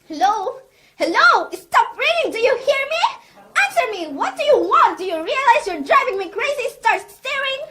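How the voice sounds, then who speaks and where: An actress playing an anxious, demanding bedridden wife in a radio drama, querulous and agitated. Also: female voice, very high-pitched, very animated, normal speed, pushed and bright, exaggerated voice. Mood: exasperated, indignant.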